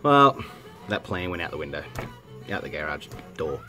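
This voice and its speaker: An Australian man with a blocked, cold-stuffed voice, chatting casually and rambling, often stopping to clear his throat and correct himself. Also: extremely low pitch, sing-song, normal speed. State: congested with a head cold. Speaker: vinyl collecting vlogger. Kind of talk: casual rambling chat to camera. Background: music playing softly.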